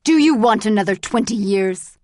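A woman voicing a sneering male Soviet guard in an audiobook, shouting and taunting as the guard gives orders. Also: medium pitch, expressive, moderate pace, natural voice. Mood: angry, mocking.

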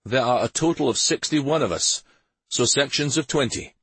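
A man voicing a stern, protective warrior officer, brisk and firm, in an audiobook story. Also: medium pitch, fairly bright tone, husky, dry recording, pitched above the performer's own voice. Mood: brisk, commanding.

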